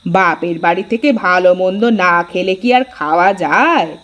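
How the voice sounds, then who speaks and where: A woman voicing a sharp-tongued elder sister-in-law in a village cartoon story. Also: fairly low pitch, expressive, normal speed. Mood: taunting, challenging. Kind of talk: Bengali cartoon dialogue.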